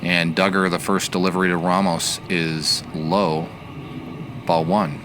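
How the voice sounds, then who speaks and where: A male baseball announcer with a fairly low voice calls the game in a measured, analytical way. His play-by-play sits over a steady bed of outdoor ambient noise. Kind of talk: sports play-by-play commentary.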